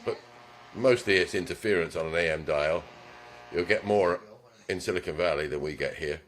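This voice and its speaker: A man demonstrating a car radio in his small repair shop, talking casually, with ums and throat clearing. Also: low-pitched, normal speed, fairly bright tone, slight background noise. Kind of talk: casual hands-on product demonstration. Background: car radio playing music.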